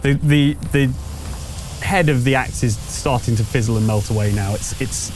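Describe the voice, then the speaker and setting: A man's voice: mid-range voice, moderate pace, a little mellow, slight background noise. A man running a tabletop roleplaying game as game master, improvising narration and explaining rules to the players.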